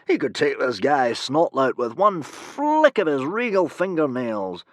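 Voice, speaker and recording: A man doing a booming, pompous hero. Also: sing-song, a little slow, dry recording, studio quality. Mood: boastful. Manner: booming, grandiose proclamations. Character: grand heroic adult male bodyguard, pompous.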